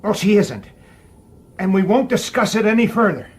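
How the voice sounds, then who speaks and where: An actor in a radio drama playing an irritable, defensive detective and husband, gruff, blunt and impatient. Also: male voice, sits high, expressive, moderate pace. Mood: defensive, agitated.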